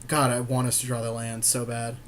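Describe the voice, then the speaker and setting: A man's voice: mid-range voice, completely flat delivery, fairly quick, slight background noise. A man chatting informally as he co-commentates a card game he is playing. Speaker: podcast co-host and player. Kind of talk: casual co-commentary on a card game being played.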